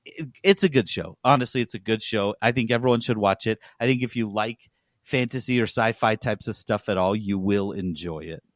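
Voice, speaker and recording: A man's voice: on the low side, fairly quick, no room sound. Enthusiastic male podcast host, dry sound. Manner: enthusiastic, emphatic. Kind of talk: casual chat.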